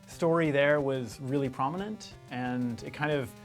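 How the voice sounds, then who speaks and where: A man's voice: mid-range voice, a little slow, soft background music. A man giving a casual, reflective interview as a game designer, tentatively thinking aloud.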